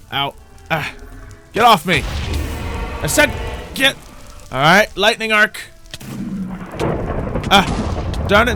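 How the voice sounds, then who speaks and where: A man commentating on his own video game play, thinking aloud in an animated way with playful exclamations. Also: mid-range voice. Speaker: gaming YouTuber playing a Minecraft roleplay series. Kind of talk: gameplay commentary. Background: background music.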